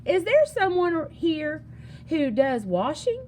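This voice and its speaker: An agreeable old-West gold prospector played by a woman reader in a storybook. The voice is high and swoops with animation, folksy in manner, over some background noise. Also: big pitch swings, slow pace, darkish tone, full character voice. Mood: inquiring. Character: dirt-covered gold prospector man, polite, agreeable. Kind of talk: storybook character dialogue.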